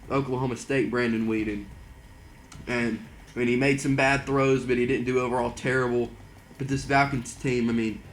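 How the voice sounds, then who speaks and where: A young man doing excited mock broadcast commentary over a football video game, saying he is losing his voice. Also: mid-range voice, a little hiss or hum. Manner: excitable play-by-play, rising to near-shouts on big plays. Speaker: gaming channel host doing play-by-play.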